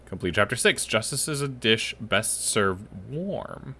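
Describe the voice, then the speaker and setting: A man's voice: on the low side, animated, a little slow, pushed and bright, husky. A man hosting a gaming video, commenting casually and muttering reactions to himself as he plays. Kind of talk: casual let's play commentary, reading game text aloud.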